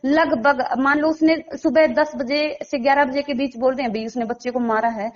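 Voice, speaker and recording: High-pitched, insistent woman. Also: steady tone, moderate pace, highs cut off. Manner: emphatic, insistent, piling up rhetorical questions. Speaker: interviewee commenting on a local case. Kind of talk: spoken interview answers, appeal to authorities.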